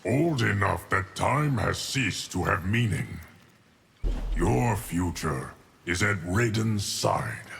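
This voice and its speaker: An ancient male guardian of time for a video game. He is calm and solemn, deep and slow, dispensing counsel like an oracle. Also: a little hiss or hum, heavily acted. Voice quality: deep, creaky. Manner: slow, measured, oracular. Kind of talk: video game character dialogue.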